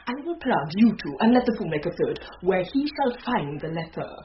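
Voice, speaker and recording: An actress playing a witty, mischievous, scheming gentlewoman servant with a British accent and a sly, relishing manner in a Shakespearean radio comedy. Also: fairly low pitch, very animated, normal speed. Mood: conspiratorial. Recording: dull, slightly noisy radio broadcast.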